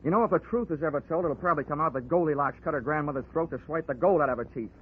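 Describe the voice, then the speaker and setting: An actor playing a cynical, wisecracking private investigator in a 1940s radio drama, with a clipped, slangy, sardonic delivery. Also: male voice, on the high side, rapid-fire, slight background noise, natural voice. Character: wisecracking hard-boiled private insurance investigator, cynical man. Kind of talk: 1940s radio detective acting and narration. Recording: muffled 1940s radio broadcast, music.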